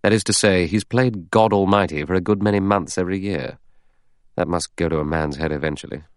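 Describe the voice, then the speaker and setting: A man's voice: low voice, fairly quick, clean tone, no echo, clean studio sound, lightly acted. A man playing a cool, cynical, self-interested adventurer in an audiobook, nonchalant and wry. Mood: speculative. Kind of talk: audiobook character dialogue.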